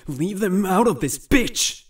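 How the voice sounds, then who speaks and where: A woman voicing a volatile rich teenage boy who bullies others and erupts into shouted rage. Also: medium pitch, expressive, a little slow, lightly acted. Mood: enraged. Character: volatile rich male teen bully. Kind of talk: fan animation voice acting.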